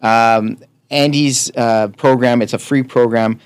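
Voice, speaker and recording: A man in his late thirties, a podcast guest chatting casually in a blunt, profane, animated way. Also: mid-range voice, a little slow, smooth, no room sound. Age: 30s. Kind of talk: casual chat.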